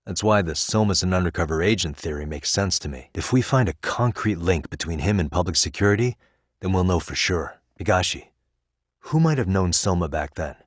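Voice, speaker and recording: An actor voicing a composed, determined private detective in a video game, talking in a conversational, wry and probing way. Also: male voice, low-pitched, lively intonation, fairly quick, no room sound, studio quality. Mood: measured, probing.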